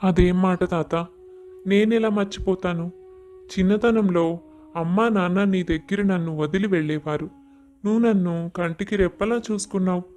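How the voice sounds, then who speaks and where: Earnest young man, high voice acted by a man. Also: moderate pace, darkish tone, lightly acted. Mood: earnest, kind. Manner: earnest, respectful. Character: kind, principled, modest young rich man. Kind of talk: animated moral story dialogue.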